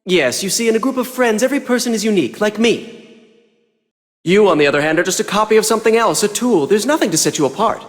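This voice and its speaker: A man's voice: sits high, expressive, quick pace. A man voicing a sly, smug scheming male villain in a video game, talking down in a mocking, theatrical way. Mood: condescending. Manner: mocking, theatrical, talks down to listener. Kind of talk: English video game voice acting. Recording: long reverb, faint music behind. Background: faint music.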